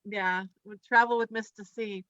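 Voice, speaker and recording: A woman doing a conversational stand-up comedy set in a halting, scattered, giggly way. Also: mid-range voice, fairly even intonation, sharp tone, dry recording, highs cut off. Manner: halting and scattered, giggles through own remarks.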